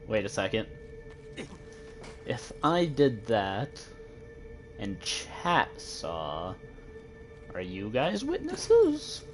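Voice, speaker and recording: Giggly Midwestern American streamer. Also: male voice, lowish voice, wildly swooping pitch, extremely slow, long pauses, soft background music. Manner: playful, giggly, joking with chat. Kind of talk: casual gameplay commentary.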